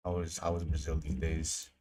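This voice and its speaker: Low-voiced young man streaming, mumbling. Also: monotone, fairly quick, dark tone. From Indonesia. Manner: mumbling to himself, trailing off. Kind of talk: casual livestream chat while modeling.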